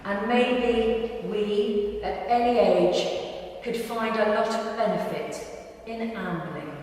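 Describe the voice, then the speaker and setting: A woman recites writing aloud slowly and in measured phrases. She sounds distant in a large reverberant hall, with faint background noise around her voice. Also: fairly high pitch, darkish tone. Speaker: reader at a live literary event. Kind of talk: reading poems and short prose aloud to an audience. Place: large indoor gym hall at a live reading.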